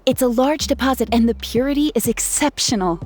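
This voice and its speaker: Young woman playing an earnest friendly gem artisan, chatty storytelling, slightly high voice, video game, soft music behind. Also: a little fast, close to an everyday voice. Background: game soundtrack music.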